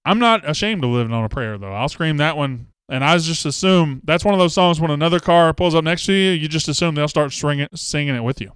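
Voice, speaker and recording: A man's voice: fairly high pitch, lively intonation, a little fast, few pauses, a bit bright, clean tone, dry recording. A man hosting a casual live show, chatting and reading viewer comments with self-mocking jokes. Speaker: host. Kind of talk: casual live-stream chat.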